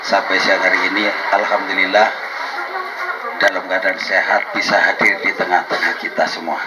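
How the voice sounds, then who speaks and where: Deep flat-voiced man giving formal speech. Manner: measured, formal greetings with light joking. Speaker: speaker at a community gathering. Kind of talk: formal speech with religious opening. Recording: noisy event recording.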